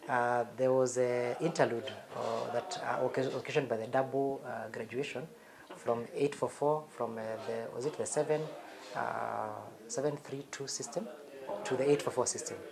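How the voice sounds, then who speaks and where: A Kenyan man in his fifties, a cardiologist telling his life story in a relaxed, reflective interview. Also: mid-range voice, moderate pace, vocal fry, a crowd in the background. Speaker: cardiologist guest. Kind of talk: conversational interview.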